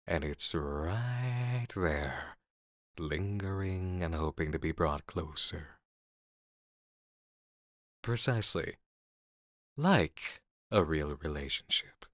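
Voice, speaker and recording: A man playing an intense, seductive horror game designer in an intimate ASMR roleplay, speaking in deliberate, drawn-out phrases on a close mic. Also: sits low, lively intonation, a bit bright, dry recording, heavily acted. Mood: musing, intrigued. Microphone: close studio mic.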